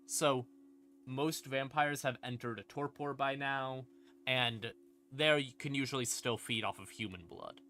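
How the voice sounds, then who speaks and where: A man explaining game lore on a casual livestream, conversational and playful, with dramatic pauses and asides. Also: medium pitch, sharp tone. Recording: ambient music behind the voice. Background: creepy ambient music.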